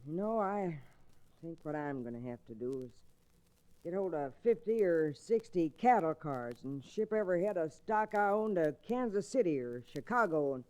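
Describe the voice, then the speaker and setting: Embattled rancher, male actor: high voice, folksy and plain-spoken, vintage radio western delivery. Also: animated, normal speed, a little mellow, lightly acted, poor audio. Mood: worried, fed up. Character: folksy, embattled cattle rancher and packer Lizzie. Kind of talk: 1940s radio western acting.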